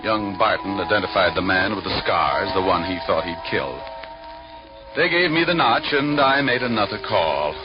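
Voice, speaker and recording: An actor playing a cocky, hard-boiled private detective in a 1940s radio noir, glib and dryly wisecracking. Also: male voice, lowish voice, lively intonation, normal speed, close to an everyday voice. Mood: terse narrating. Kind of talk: 1940s radio noir acting and first-person narration. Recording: muffled old radio recording, loud music.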